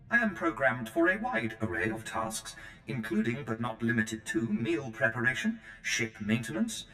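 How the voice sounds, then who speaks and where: An actor playing a nervously eager servant droid in a video game, prim, formal and obsequiously polite. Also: male voice, on the low side, bright, forceful tone, exaggerated voice. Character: fussy, servile, nervously eager male protocol droid servant. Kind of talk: scripted game character acting. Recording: roomy echo, long reverb, faint music.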